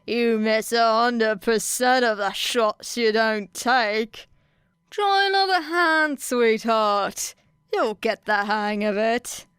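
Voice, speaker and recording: A smug, gleeful gambler man, played by a woman, stretching his words very slowly with obnoxious condescension. The voice sits fairly high and is very smooth and resonant, an audiobook character who loves to crow. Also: a bit bright, no room sound, clean studio sound. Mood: smug, coaxing. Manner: crowing, drawn-out, condescending.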